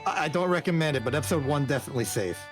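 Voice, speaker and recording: A man's voice: on the high side, animated, rapid-fire, over music. A man co-hosting a game stream, chatting casually and giving advice in a hesitant way that repeats words. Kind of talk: casual chat, advising a player.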